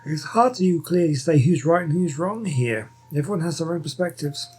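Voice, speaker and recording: A man's voice: fairly high pitch, lively intonation, moderate pace, a little mellow, faint music behind. A man giving casual let's-play commentary, rambling and thinking aloud as he goes. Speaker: gaming streamer.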